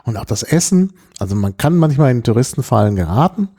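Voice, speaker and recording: A man casually recounting a trip in relaxed conversation, with frequent fillers and throat clearing. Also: medium pitch, a little fast. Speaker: podcast host telling of his travels.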